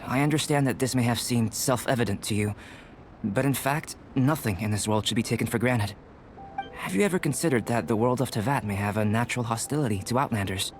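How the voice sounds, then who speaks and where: A man playing a young alchemist in a video game, polite and curious, speaking in a measured, formal, thoughtful way. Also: on the low side, natural voice. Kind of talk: English video game character dialogue. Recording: music behind the voice.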